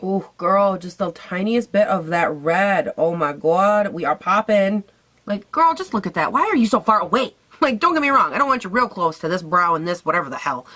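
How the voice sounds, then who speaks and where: A young woman with a raspy voice, hoarse from a cold, chatting casually and sassily through a makeup try-on at home. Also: medium pitch, animated, normal speed, bright, forceful tone. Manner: chatty, sassy, swears casually. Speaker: beauty vlogger.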